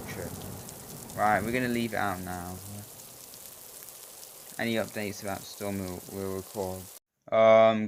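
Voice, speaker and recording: A man chatting casually as a storm chaser, in loose, rambling talk full of restarts, with rain noise behind him. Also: on the low side. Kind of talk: casual banter among friends.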